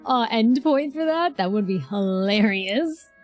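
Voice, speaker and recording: A woman's voice: a little high, expressive, measured pace. A woman streamer giving casual, informal live reaction commentary in a chatty way. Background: game music.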